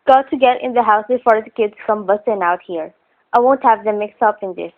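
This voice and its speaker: A woman playing a no-nonsense, brisk and indignant wife in a vintage radio drama. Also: medium pitch, slight background noise, natural voice. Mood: shaken, indignant. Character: plucky, no-nonsense wife and aunt. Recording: tinny old radio recording.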